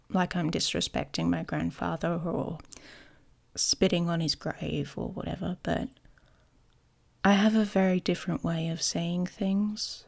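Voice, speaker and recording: A woman playing a smug, playful young werewolf pack leader in an intimate ASMR romance roleplay, teasing and often slipping into a breathy whisper. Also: on the low side, a little mellow. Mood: earnest, resolute.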